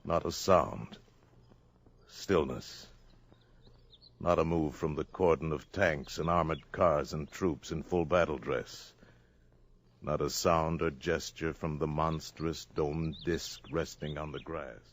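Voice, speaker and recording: A man narrating a 1950s radio drama in a measured, dramatic storytelling voice. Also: extremely low pitch, steady tone. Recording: old radio broadcast with music.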